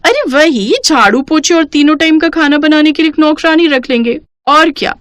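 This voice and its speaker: Woman acting high-voiced cheeky young bride. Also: moderate pace, a bit bright, no echo. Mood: breezy, insistent. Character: pampered, cheeky young daughter-in-law, stubborn and defiant. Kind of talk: Hindi cartoon moral story acting.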